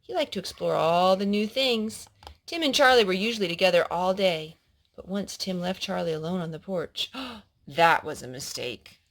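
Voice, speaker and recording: A woman reading a children's storybook aloud as a storyteller, in an animated way meant for a child, pausing to explain and ask questions. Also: fairly low pitch.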